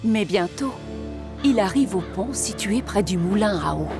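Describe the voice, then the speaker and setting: A woman narrating a scripted children's story with expressive delivery. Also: medium pitch, measured pace. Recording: music behind the voice.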